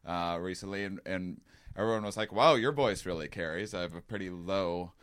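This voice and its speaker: A man hosts a podcast, chatting casually and thinking aloud. His voice is low and smooth, filled out with "like" and "uh". The sound is dry and close. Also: a little fast, a bit bright, no echo. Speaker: podcast host. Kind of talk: casual chat.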